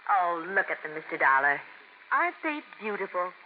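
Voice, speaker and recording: Gracious society wife on tinny old radio. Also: female voice, lowish voice, big pitch swings, moderate pace. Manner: gracious, refined, chatty. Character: genteel, chatty society wife, gracious and proud. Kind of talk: 1950s radio drama acting. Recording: phone-like old radio recording, faint noise.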